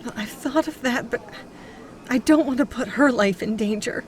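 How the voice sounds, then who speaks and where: A woman's voice: fairly high pitch, normal speed, lightly acted. An actress playing a frightened but determined woman in an audio drama. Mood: wary, resolved.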